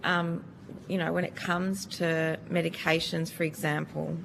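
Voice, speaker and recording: A woman's voice: low voice, little pitch movement, a little slow, gravelly, a bit bright, a little hiss or hum, poor audio. A woman senator asking questions at a committee hearing, polite and brief.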